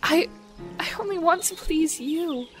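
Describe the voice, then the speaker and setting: A woman's voice: very high voice, expressive, unhurried, soft background music. A woman playing a devout missionary pleading in prayer in an audio drama. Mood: pleading, prayerful. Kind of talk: slow audio drama monologue.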